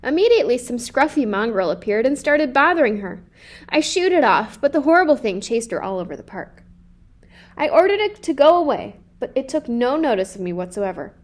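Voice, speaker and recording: A woman's voice: high voice, sing-song, fairly quick. A woman voicing a haughty, bossy, well-to-do mother in a picture book, prim and indignant. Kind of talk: children's picture book read-aloud.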